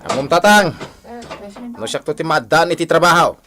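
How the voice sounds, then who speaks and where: A man's voice: fairly high pitch, lively intonation, moderate pace, close to an everyday voice. A man with an Ilocano accent acting a proud, short-tempered husband and father in a radio drama. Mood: sullen, boastful.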